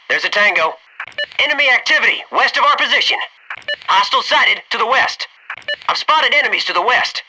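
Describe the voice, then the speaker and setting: A man's voice: very high-pitched, noisy background. A male actor playing a loyal, wisecracking special forces soldier in a military shooter game, speaking in clipped military phrases.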